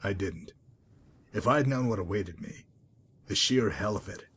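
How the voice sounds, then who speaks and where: A man playing a calm, menacing hitman with a bitter past in a video game, speaking in a measured, deliberate, coolly condescending way. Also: lowish voice, a little hiss or hum. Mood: bitter, reflective. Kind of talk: dramatic video game mod monologue and dialogue.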